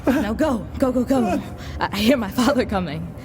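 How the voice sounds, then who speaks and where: A woman's voice: on the high side, lively intonation, moderate pace, background noise, lightly acted. A woman playing an urgent, furtive young boy in an audio drama.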